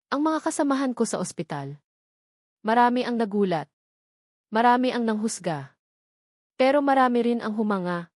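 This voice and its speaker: A woman telling a first-person love story in a measured, earnest way, letting each line land with feeling. Her voice sits at an everyday pitch and sounds close and dry, with no echo around it. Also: a little mellow. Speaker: narrator.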